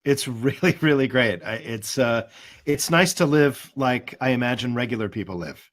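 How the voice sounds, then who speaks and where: A man speaking as a guest casting director, giving conversational advice in a halting, careful way. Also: mid-range voice, expressive.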